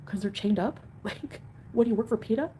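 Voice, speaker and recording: A woman's voice: fairly high pitch, fairly quick, warm tone, slight background noise. A woman hosting a casual livestream at home, chatting with viewers and answering comments in a rambling, defensive way. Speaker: livestream host.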